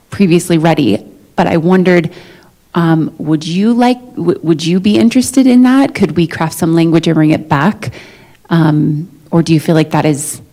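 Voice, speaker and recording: A woman with a slightly low, very mellow voice speaks as a negotiator in a formal meeting. She explains her position in measured, diplomatic phrases, keeping a conversational feel. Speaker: negotiator for a school district's management. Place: meeting room, live-streamed negotiation session.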